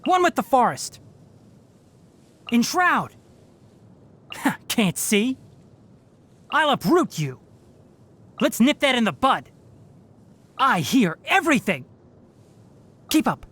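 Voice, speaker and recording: A woman's voice: a little high, very animated, slow pace, long pauses, fairly bright tone, slightly rough, exaggerated voice. A woman voicing a fox-eared young male forest ranger in a video game, articulate and matter-of-fact, dryly fussy yet caring. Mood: fierce, battle-ready. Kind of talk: video game character voicelines.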